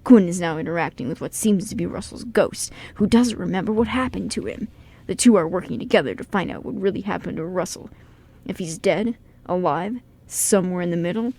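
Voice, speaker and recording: A woman's voice: fairly low pitch, moderate pace, dark tone, breathy edge. A woman hosting a radio show, moving between scripted introduction and casual chat.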